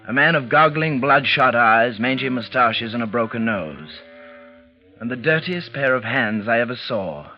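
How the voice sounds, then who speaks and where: Man acting an intense gentleman gambler, muffled radio. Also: mid-range voice, lively intonation, normal speed, lightly acted. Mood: intense narration. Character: feverish, intense English gentleman gambler and narrator. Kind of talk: 1940s radio drama acting. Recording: muffled vintage radio recording with music.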